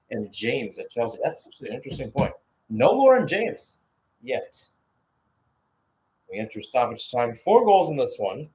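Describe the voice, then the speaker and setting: Male, sports commentator, live football play-by-play, conversational delivery, analytical asides, medium pitch. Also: darkish tone, a little hiss or hum, low-quality recording.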